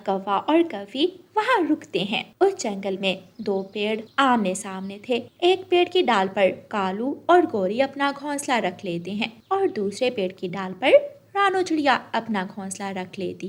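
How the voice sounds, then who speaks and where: A woman's voice: mid-range voice, big pitch swings, measured pace. A woman giving scripted narration of a children's moral story.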